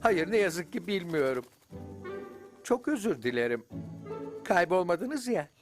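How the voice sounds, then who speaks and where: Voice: mid-range voice, big pitch swings, a little slow, faint music behind. A performer voicing a friendly, polite firefly in a children's cartoon dub, courteous and eager to help. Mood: helpful, eager.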